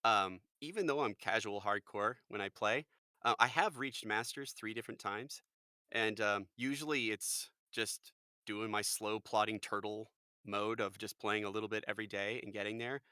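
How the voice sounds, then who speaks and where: Man, 40s, podcast guest; bright, tense tone, dry close sound, animated chatty delivery. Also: normal speed, smooth, dry recording. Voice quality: fairly high-pitched voice. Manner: chatty, animated storyteller, quotes people in lively voices. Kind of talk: casual chat.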